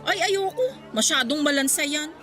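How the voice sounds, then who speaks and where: A woman's voice: high-pitched, expressive, moderate pace, a bit bright. A woman playing a haughty, stingy middle-aged neighbour with a Filipino accent, sneering and dismissive in a radio drama. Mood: scornful.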